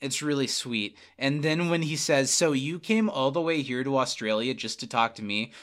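A man's voice: medium pitch, normal speed, a bit bright. A young man hosting a podcast, casually recapping a TV episode and retelling scenes with quoted lines. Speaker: podcast host.

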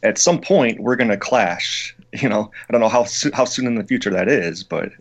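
A man with a slightly low voice asks questions in a hesitant, speculative way, pausing on fillers as he goes. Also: animated. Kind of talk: casual interview questions.

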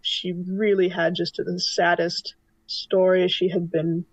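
A woman's voice: fairly low pitch, flat delivery, takes it slowly, no echo. A woman chatting casually as a tabletop roleplaying player, hesitant and thinking aloud, on a dull recording. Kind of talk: casual in-game description and table chat.

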